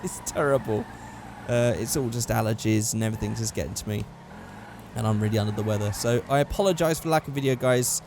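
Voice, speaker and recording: A man with a hoarse, worn-out voice giving casual commentary over a driving game, getting excitable at close calls. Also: mid-range voice, fast talker, noisy background. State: hoarse, losing his voice. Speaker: gaming channel host.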